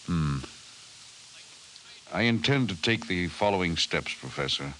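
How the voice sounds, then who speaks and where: An actor playing an authoritative president in 1950s radio drama style, formal and deliberate. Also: male voice, low voice, expressive, fairly bright tone, poor audio. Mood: resolute, somber. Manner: formal, deliberate, weighty delivery. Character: authoritative, decisive male president of a nation. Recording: hissy 1950s radio recording.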